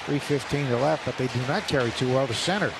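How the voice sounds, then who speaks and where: A man giving calm, analytical color commentary during a radio baseball broadcast. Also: medium pitch, quick pace, vocal fry. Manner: calm analytical remarks between plays. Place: broadcast booth at a ballpark. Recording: radio broadcast with crowd noise.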